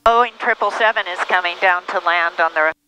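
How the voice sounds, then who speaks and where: A woman's voice: fairly low pitch, moderate pace, bright, forceful tone, smooth, rough recording. A woman talking casually over an aviation headset in a small plane, explaining things to passengers. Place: small propeller plane cockpit in flight. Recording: cockpit intercom with faint noise.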